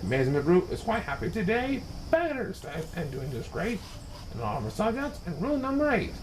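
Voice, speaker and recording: Male storybook narrator, high-pitched, dramatic and swooping, reading children's rhyme with faint noise behind. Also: brisk. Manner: expressive, dramatic. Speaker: storybook reader.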